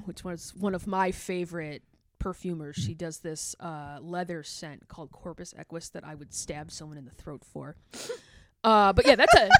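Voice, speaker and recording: A woman with an American Midwest accent, chatting casually and candidly as a podcast co-host, with strong opinions freely given. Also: mid-range voice. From Chicago, American Midwest.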